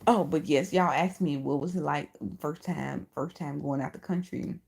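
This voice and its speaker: A woman's voice: low-pitched, moderate pace, creaky, breathy edge. A woman with a Chicago accent and a lisp, chatting bluntly and profanely on a livestream as its host. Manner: blunt, profane and animated, answering chat. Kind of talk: casual livestream chat.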